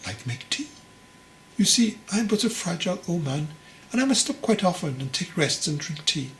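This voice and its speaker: A man voicing a wise, patient old monk master, teasing and instructive, in a measured delivery for a storybook. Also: high-pitched, sing-song, fairly bright tone, voice pushed up, heavily acted. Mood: gently teasing. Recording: clearly noisy recording.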